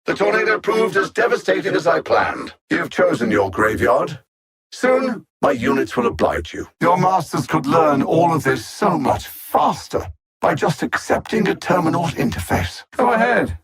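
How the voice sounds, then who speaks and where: An actor playing an arrogant, coldly condescending alien machine-minded conqueror in a video game, speaking in a formal, precise, theatrical manner with a husky voice. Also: male voice, fairly high pitch, big pitch swings, moderate pace, a bit bright. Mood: mocking menace. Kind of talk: video game villain dialogue.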